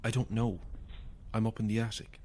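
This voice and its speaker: A woman's voice: extremely low pitch, flat delivery, normal speed, mellow, slight background noise. An actress in a horror audio drama playing a frightened, unravelling woman, anxious and moving between whispers and cries. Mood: wary, rattled. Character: frightened, unravelling woman trapped on an empty housing estate.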